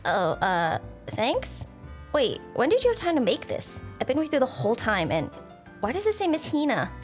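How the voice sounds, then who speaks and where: A woman puts on a small dog-eared boy general, high-voiced and earnest, quickly flustered and slightly put-upon. The puppet-comedy delivery swings in pitch, over clear background music. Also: huge pitch swings. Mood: flustered, puzzled. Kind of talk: comedic character voice in fan puppet show.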